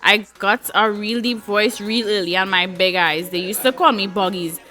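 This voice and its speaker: A woman hosts a live talk show, reading viewer comments aloud and answering them in a chatty, easygoing way. Her voice is bright and forward. Soft music plays behind her. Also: mid-range voice, moderate pace. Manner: chatty, reacts to comments with laughs.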